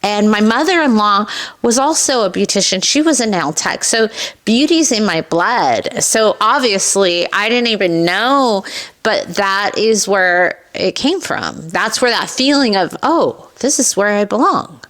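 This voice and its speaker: A woman's voice: medium pitch, unhurried. A middle-aged woman with a Californian accent tells personal stories candidly as an interview guest, often acting out remembered remarks. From Southern California, United States. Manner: candid, animated retelling with acted-out quotes. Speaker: podcast guest, esthetician and business owner. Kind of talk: personal storytelling in a conversational interview.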